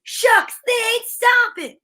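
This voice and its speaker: A woman playing an eager, folksy boy sidekick in an old-time radio serial, with an excitable gee-whiz delivery. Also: extremely high pitch, slow, full character voice. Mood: excited.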